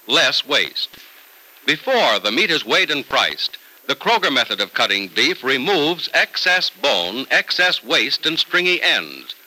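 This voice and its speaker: A man's voice: medium pitch, pushed and bright, poor audio. A man announcing and narrating an old-time radio program in a polished, declamatory 1940s style.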